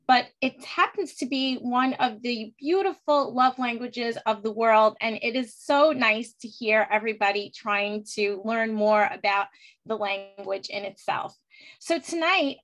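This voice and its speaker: A woman speaking earnestly as a panelist, her voice sitting a little high. She delivers a prepared personal speech with heartfelt warmth and steady conviction. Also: poor audio. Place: virtual event.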